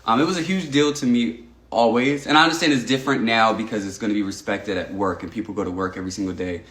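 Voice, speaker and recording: An earnest young man from Texas chats casually on a livestream, thinking aloud and circling back with lots of "um"s. His voice sits a little low. The room around him is echoey. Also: quick pace, fairly bright tone. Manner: earnest and reflective, frequent "um" and restarts. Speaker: livestream host. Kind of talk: casual livestream chat reading comments.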